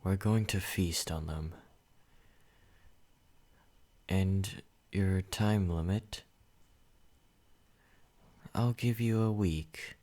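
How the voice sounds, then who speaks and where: A man voicing a possessive yandere vampire in an ASMR roleplay, speaking softly and intimately, sometimes whispering. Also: sits low. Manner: soft, intimate, slow and controlling, slipping into whispers. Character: possessive male yandere vampire, obsessive and menacing.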